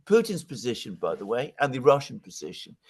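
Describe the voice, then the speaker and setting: A man's voice: medium pitch, very animated, a little fast, darkish tone, husky. Man giving emphatic political commentary. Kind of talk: conversational political analysis.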